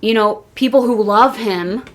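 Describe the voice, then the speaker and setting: A woman's voice: mid-range voice, unhurried. A woman co-hosting a podcast, talking casually and emphatically as an opinionated fan. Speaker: podcast co-host. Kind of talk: casual chat about pro wrestling.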